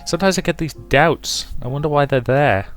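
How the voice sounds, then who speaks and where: A man voicing an insecure, affectionate, tipsy young woman who speaks in a halting, earnest, confiding way in a visual novel. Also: on the high side, soft background music, pitched above the performer's own voice, close to an everyday voice. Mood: anxious, insecure.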